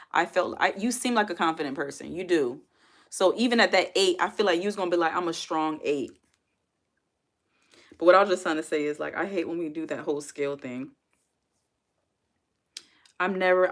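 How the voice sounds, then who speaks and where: A woman's voice: on the low side, fairly bright tone. A woman in her forties hosting a casual livestream from home, reading viewer comments aloud and chatting back with frequent laughs. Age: 40s. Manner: chatty, talks straight to chat, laughs often. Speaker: livestream host.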